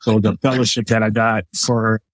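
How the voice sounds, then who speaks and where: A man's voice: fairly low pitch, little pitch movement, moderate pace, no echo, studio quality. A middle-aged man from Liberia, a chef talking through his food with plenty of examples and fillers. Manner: easygoing, explains with examples, many fillers. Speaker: chef, guest. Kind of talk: casual interview talk.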